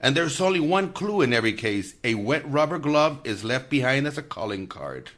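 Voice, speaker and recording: Animated, blustery man playing an FBI agent. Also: medium pitch, normal speed, background noise, lightly acted. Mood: exasperated. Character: blustery, gruff-tempered male FBI special agent. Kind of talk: radio mystery acting.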